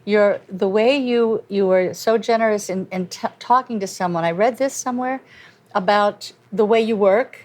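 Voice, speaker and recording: Older lady hosting a chatty lunch, keeps restarting her sentences, mid pitch. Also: darkish tone. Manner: halting, many restarts and fillers. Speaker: host. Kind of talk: casual lunch chat.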